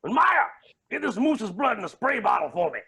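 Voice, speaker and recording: Raspy, wildly theatrical billionaire villain. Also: male voice, high voice, big pitch swings, a little fast, full character voice. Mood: breezy command. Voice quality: raspy, creaky. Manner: theatrical, grandiose, swinging from mutters to shouts. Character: flamboyant villainous male billionaire, menacing and unhinged. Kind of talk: staged play reading.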